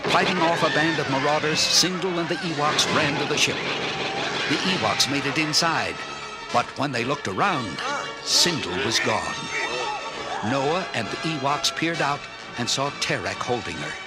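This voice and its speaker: A man narrating a children's story in an expressive, clearly enunciated read-along style. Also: a little high, normal speed, loud music behind the voice, low-quality recording. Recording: orchestral film score under narration.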